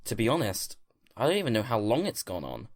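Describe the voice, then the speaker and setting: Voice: medium pitch, huge pitch swings, brisk. A performer playing an earnest, guilt-ridden young agent in a fan audio drama, anxious but resolute, sighing and pleading. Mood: grave, explaining.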